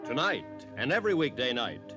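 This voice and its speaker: A man's voice: mid-range voice, big pitch swings, fairly quick, lightly acted. An American man announcing a 1950s radio drama in a scripted broadcast style. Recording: old radio broadcast, music behind. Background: music.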